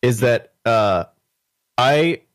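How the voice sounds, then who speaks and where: A young Canadian man chats on a podcast in a loose, rambling way, full of fillers. His voice sits at an ordinary pitch and sounds dry and close, with no room around it. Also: animated, extremely slow, no echo. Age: 20s. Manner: laid-back, rambling with fillers and laughs. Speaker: podcast guest. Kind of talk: casual chat.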